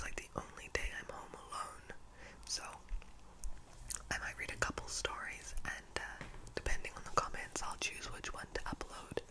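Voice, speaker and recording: A young woman whispering breathily and close to the mic for ASMR, hushed and informal as she tells stories and talks to viewers. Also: rough recording. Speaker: ASMR storyteller and channel host. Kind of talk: whispered ASMR, reading a storybook and chatting to viewers. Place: at home indoors. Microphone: close-up microphone.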